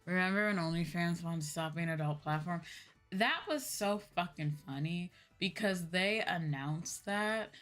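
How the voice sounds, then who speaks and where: A woman's voice: lowish voice, moderate pace, a bit bright, faint music behind. A young woman streamer chatting casually and informally with her viewers on a livestream. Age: young adult.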